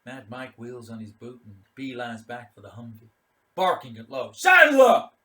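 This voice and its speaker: A man's voice: medium pitch, steady tone, moderate pace. A man reading a literary short story aloud as a dramatic narration. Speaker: story reader.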